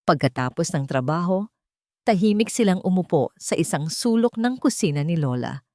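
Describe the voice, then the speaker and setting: A woman narrating a scripted, sentimental romance story in an earnest, measured storytelling manner. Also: low voice, a little mellow, no room sound, clean studio sound.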